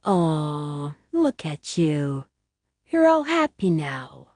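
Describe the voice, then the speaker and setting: Gentle, doting mother played by a woman for an ASMR bedtime audioplay: low-pitched, tender, cooing, recorded close and dry. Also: sing-song, no echo, full character voice. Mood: gentle, playful. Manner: soft, cooing, tender, slow. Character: gentle, doting mother comforting her small baby. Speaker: ASMR audio roleplay performer.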